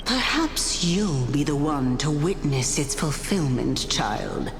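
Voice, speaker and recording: A stately female general from a fantasy video game. Her deep voice rises and falls expressively as she speaks with solemn, formal gentleness. It echoes through a long reverb with music behind. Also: expressive, takes it slowly, heavily acted. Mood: solemn, gentle. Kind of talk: scripted dramatic game dialogue.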